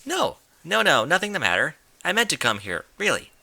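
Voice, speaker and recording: A man's voice: a little high, wildly swooping pitch, fairly quick, gravelly, sharp tone, pitched above the performer's own voice, heavily acted. A man voicing a young female pegasus pony in a story, awkward, casual and hesitant. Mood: awkward, evasive.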